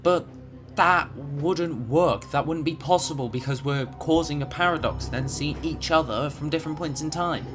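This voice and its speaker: A man's voice: a little high, moderate pace, music in the background, close to an everyday voice. A young man playing an eager, curious college student in an amateur audio drama. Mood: chatty.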